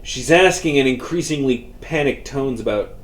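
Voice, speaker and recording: Man narrating fiction over clear background noise. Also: medium pitch, expressive, moderate pace. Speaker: author reading own story. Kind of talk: scripted narration, audiobook-style fiction reading.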